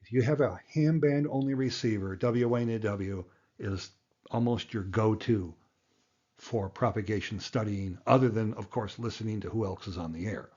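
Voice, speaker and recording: A man's voice: fairly low pitch, normal speed, a little mellow, slightly rough, dull audio. A man giving a plain-spoken, emphatic tutorial as an instructor, explaining things step by step. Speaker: amateur radio instructor and presenter.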